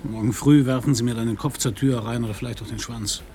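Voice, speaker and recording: A man's voice: mid-range voice, fairly even intonation, quick pace, dark tone, slight background noise, lightly acted. An actor in a German radio play voicing a bitter, grieving Danish man, brooding, weary and sardonic. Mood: gloomy, subdued. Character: bitter, grieving Danish man whose dog was stolen.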